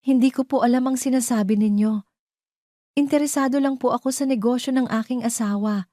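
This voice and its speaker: A woman voicing a calm, poised, defiant young wife in an audio drama, speaking clearly and deliberately. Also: a little high, no echo, clean studio sound, voice pushed up, close to an everyday voice. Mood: quietly defiant.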